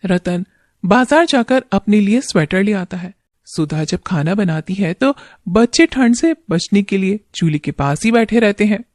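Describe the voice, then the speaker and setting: A woman's voice: mid-range voice, lively intonation, moderate pace, darkish tone. A woman narrating a scripted moral story as a storyteller.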